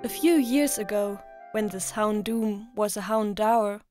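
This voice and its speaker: A woman voicing a solemn, devoted young monster trainer in a manga dub, soft and wistful in delivery. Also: medium pitch, measured pace, lightly acted. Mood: wistful, resolute. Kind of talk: dramatic flashback narration in a manga dub. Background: music.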